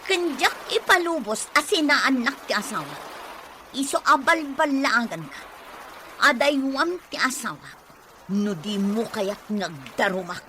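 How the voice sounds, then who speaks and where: Hurt betrayed wife in ilocano radio drama, swingy higher voice, noisy recording. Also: female voice, expressive, unhurried, bright, forceful tone. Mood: angry, accusing. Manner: emotional, accusing, pleading. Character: betrayed wife, suspicious, wounded, confronting husband and mistress.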